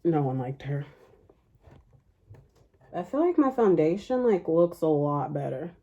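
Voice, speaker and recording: Woman with a low mellow voice. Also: very animated, measured pace, muffled recording. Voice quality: fairly deep speaking voice. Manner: rambling, chatty, heavy use of "like" fillers. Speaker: beauty vlogger. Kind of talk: casual makeup tutorial chat.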